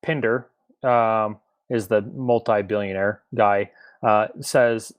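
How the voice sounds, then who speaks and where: A man's voice: fairly low pitch, fairly even intonation, takes it slowly, clean tone, highs cut off. A man casually leading a book club discussion on a podcast, in an informal conversational style.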